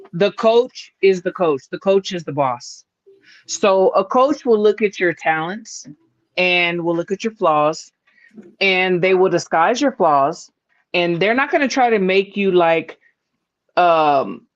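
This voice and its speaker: A woman hosting a casual boxing talk show, blunt and emphatic, who swears freely and often laughs. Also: lowish voice. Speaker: boxing podcast host. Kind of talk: casual panel chat. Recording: dull lo-fi sound.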